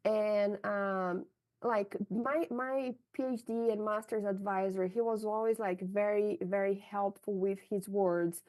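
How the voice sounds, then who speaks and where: A woman's voice: medium pitch, fairly even intonation, a little slow, a little mellow. A woman from Brazil with a Brazilian Portuguese accent, whose first language is Portuguese, answering interview questions about her scientific career frankly and often adding "right?" to her sentences. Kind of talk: candid conversational interview answers.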